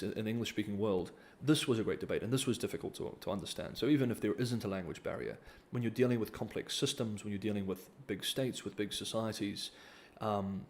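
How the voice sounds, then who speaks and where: A man's voice: lowish voice, slight background noise. A man, hosting a casual livestream Q&A and reading donor comments, hesitant, with many ums and restarts. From New Zealand. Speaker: livestream host.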